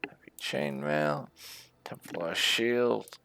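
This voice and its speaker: A man's voice: medium pitch, very slow, gravelly, pushed and bright, in a whisper. A man hosting a gaming video, giving casual commentary and muttering to himself in short fragments over game music. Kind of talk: casual let's play commentary.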